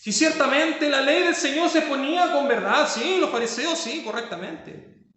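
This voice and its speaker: A man's voice: very high-pitched, normal speed, pushed and bright, heavy reverb. A man preaching a sermon as pastor, stressing points with rhetorical questions.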